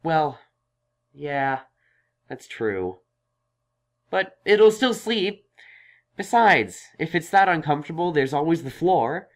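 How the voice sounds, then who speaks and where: A man playing a kindly young male pony scholar in an audio drama, reassuring but self-conscious and halting. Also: a little high, expressive. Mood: friendly, insistent. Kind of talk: audio drama fan fiction reading.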